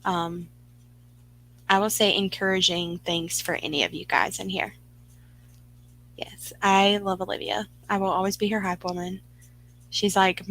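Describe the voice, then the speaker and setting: A woman's voice: medium pitch, bright, forceful tone, a little hiss or hum, low-quality recording. A woman, chatting casually and encouragingly with viewers on a live stream, rambling with lots of fillers. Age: 20s. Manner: rambling, filler-heavy and upbeat. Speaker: livestream host.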